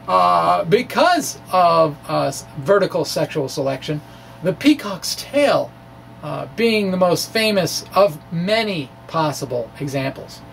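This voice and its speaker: A middle-aged man doing a dramatic reading of a science blog, halting and wry, with informal self-deprecating asides. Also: high-pitched, creaky, fairly bright tone. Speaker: science video blogger. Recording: distant mic, faint background noise.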